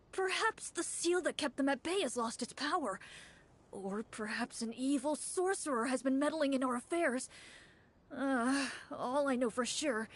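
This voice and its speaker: Earnest, eager game townsperson, high voice. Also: female voice. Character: earnest hopeful young townsperson seeking hunters. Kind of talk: game voice acting.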